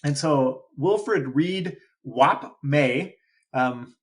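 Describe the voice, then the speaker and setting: A man co-hosting a podcast, chatting animatedly with frequent restarts and excited jumps in pitch. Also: drawn-out words. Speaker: podcast co-host. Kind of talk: casual chat.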